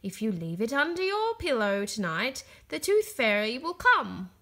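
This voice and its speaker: A woman voicing a gentle, reassuring mother pig in a children's storybook, patiently explaining things. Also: on the high side, very animated, unhurried, full character voice. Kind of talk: children's storybook read-aloud.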